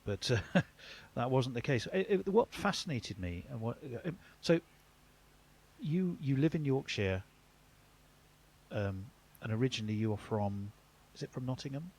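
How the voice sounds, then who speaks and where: A man's voice: medium pitch, expressive, unhurried, a little mellow. A man presenting cricket radio, interviewing a guest in a hesitant way with many restarts. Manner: hesitant, stumbling over restarts. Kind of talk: conversational interview.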